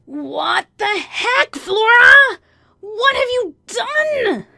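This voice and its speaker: A woman voicing a furious, indignant adulterous best friend, shrill and demanding, in a narrated story. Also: very animated, very slow, gravelly, pushed and bright, no echo, clean studio sound, exaggerated voice. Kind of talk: acted dialogue in a narrated story.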